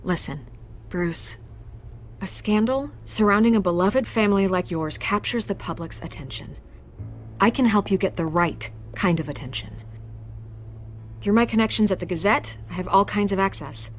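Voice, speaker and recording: An actress playing a confident, persuasive newspaper reporter in a video game, assured and coaxing. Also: female voice, mid-range voice, moderate pace, slight background noise, close to an everyday voice.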